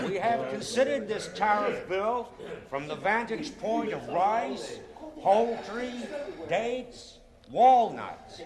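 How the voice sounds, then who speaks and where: A man's voice: high voice, full character voice. An actor playing a formal, authoritative committee chairman with an oratorical manner in a radio drama. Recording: crowd murmur in a chamber.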